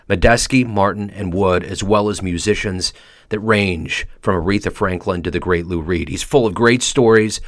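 A man reading a scripted introduction of a guest in a measured, formal way. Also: on the low side, no room sound, clean studio sound. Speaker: radio announcer.

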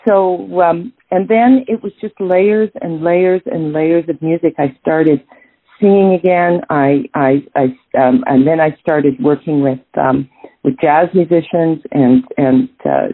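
Canadian jazz singer lady, middle-aged, husky, lots of ums and restarts, phone-ish audio. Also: sits low, a little slow, no echo. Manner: thoughtful, many restarts and fillers. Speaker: jazz singer, interview guest. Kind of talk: casual interview answers. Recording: tinny phone-like line.

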